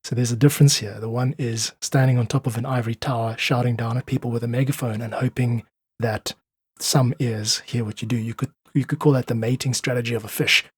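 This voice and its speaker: A man's voice: mid-range voice, fairly even intonation, fairly quick, breathy edge, dry recording. A South African man speaking earnestly and articulately as an interview guest, explaining his ideas in conversation. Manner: earnest, articulate, uses analogies. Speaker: podcast guest, independent musician.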